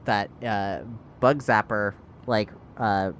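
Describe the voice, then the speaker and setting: A man's voice: lowish voice, steady tone, slow, fairly bright tone. Casual man over background noise. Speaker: participant in a tabletop role-playing podcast. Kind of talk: casual improvised game table chat.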